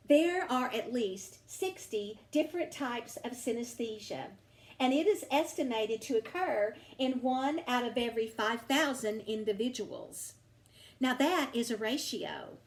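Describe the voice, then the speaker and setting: A woman reading a story aloud for children in a deliberate, teacherly way. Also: sits high, a little mellow, roomy sound. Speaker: storybook reader. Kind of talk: read-aloud narration for children.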